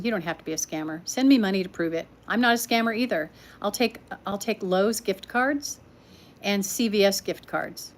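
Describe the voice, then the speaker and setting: A woman's voice: a little high, a bit bright. An older woman telling stories at home on a livestream, chatting with viewers and laughing often. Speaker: livestream storyteller. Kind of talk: casual livestream chat. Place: home living room.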